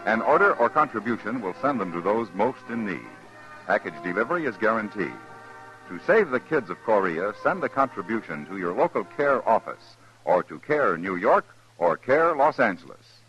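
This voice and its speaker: A man announcing in a formal, measured and emphatic 1950s radio style. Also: on the low side, big pitch swings. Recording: muffled vintage radio, music behind.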